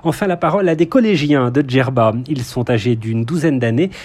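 A man's voice: mid-range voice, fairly quick, a little mellow, no room sound. A man working as a reporter, putting interview questions and linking between guests in a journalistic style. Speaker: radio or TV reporter.

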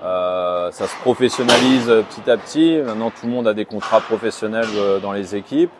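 A man who plays hockey, answering interview questions calmly, with many pauses and fillers. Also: lowish voice, noisy background. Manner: calm, hesitant, many fillers. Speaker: hockey player.

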